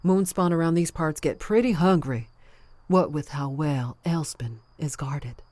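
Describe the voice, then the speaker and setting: A woman playing a rough-spoken, cowardly bandit in a fantasy visual novel. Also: deep voice, unhurried, darkish tone, dry recording. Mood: coolly threatening. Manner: colloquial, rough grammar.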